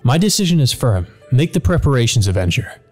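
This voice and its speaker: A man's voice: mid-range voice, big pitch swings, a little fast, darkish tone, soft background music, close to an everyday voice. A man playing a stern, guarded master in a visual novel, speaking seriously and with determination. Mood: firm.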